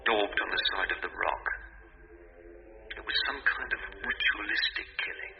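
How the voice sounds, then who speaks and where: Grave investigator on phone, low pitch, very varied intonation, muffled and noisy. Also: male voice, wildly swooping pitch, normal speed, creaky, loud music behind the voice, heavily acted. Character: grave male investigator reporting a killing. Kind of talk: radio drama dialogue. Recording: muffled, noisy telephone-style radio recording.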